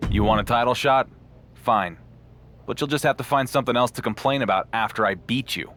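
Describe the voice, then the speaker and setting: An actor playing a confident, sarcastic champion wrestler in a video game, with a dry, dismissive manner. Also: male voice, mid-range voice, fairly quick, fairly bright tone, slight background noise, close to an everyday voice. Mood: cool, confident. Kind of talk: scripted video game cutscene acting.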